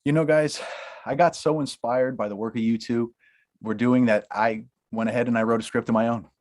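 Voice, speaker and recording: A man's voice: medium pitch, steady tone, fairly quick, a little mellow, lightly acted. A man reading a blunt, mocking Hollywood agent. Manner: laughing, crude, mocking. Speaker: actor at a table read. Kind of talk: comedic table read.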